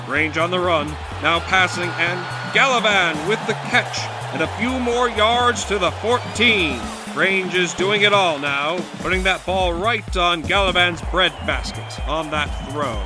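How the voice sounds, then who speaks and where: An actor playing an excited 1920s football play-by-play announcer, calling the game in shouts. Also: male voice, high-pitched, a little slow, hardly any pauses. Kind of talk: old-time sports commentary. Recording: music behind the voice.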